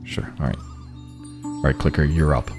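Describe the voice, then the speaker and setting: A man's voice: a bass voice, fairly even intonation, normal speed, vocal fry, music in the background. A man running an online tabletop game as game master, narrating casually.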